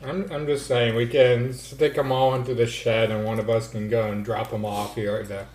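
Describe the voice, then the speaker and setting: A man's voice: mid-range voice, flat delivery, a little mellow. A man playing a tabletop game who chats casually and cracks jokes, recorded in an echoey room. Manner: joking, quick-witted. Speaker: player.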